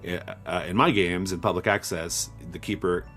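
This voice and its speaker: A man's voice: low-pitched, fairly even intonation, moderate pace, fairly bright tone, faint music behind. A man speaking as an interview guest and game designer, explaining things step by step in a conversational way and often checking in as he goes.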